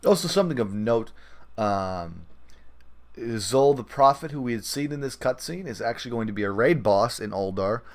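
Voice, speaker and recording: A man's voice: mid-range voice, lively intonation, normal speed. A man hosting a podcast, giving casual game commentary in a hesitant, discursive way. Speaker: podcast host.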